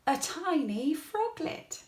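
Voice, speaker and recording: A woman reads a bedtime story for young children, gently and expressively. Her pace is very unhurried, with careful pauses and lively movement in pitch. The room around her voice is noticeably echoey. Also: over music. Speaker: storybook reader.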